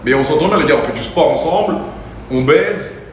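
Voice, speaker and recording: A man's voice: fairly high pitch, lively intonation, brisk. A man hosting a livestream, talking brashly and teasing while he speaks straight to his chat. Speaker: livestream host. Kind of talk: casual livestream banter. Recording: very echoey room, faint ambient noise.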